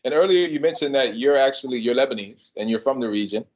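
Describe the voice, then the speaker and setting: A man's voice: mid-range voice, fast. Man asking direct podcast questions. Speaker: podcast host, interviewer. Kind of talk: interview questions. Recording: slightly dull podcast audio.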